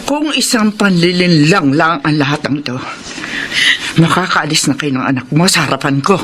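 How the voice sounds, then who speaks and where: A woman's voice: low voice, animated, moderate pace, a bit bright, a little hiss or hum. An actress playing a stern, bitter, grieving older mother in a radio drama, speaking in an accusing, dramatic way. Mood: cold, bitter.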